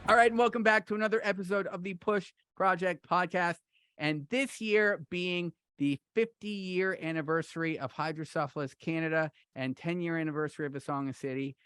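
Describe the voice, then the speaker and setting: A man's voice: on the high side, fairly bright tone, smooth, dry recording, clean studio sound. A man hosting a podcast livestream, upbeat and loose, with a few fillers. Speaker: podcast host.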